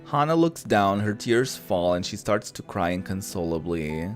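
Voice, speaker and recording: A streamer with an expressive, slangy way of talking, casually reacting to a visual novel and reading its narration aloud, with game music behind. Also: sits low, moderate pace. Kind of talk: casual gameplay commentary mixed with narrated reading.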